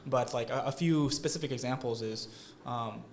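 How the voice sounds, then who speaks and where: An American man talks through his answers in a relaxed interview, his voice sitting in a middle register. He leans on fillers and checks in with "right?" as he goes. A faint hiss of background noise sits under the recording. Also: medium pitch, normal speed. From United States. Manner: thoughtful, frequent fillers and "right?" tags. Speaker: guest. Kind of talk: conversational interview.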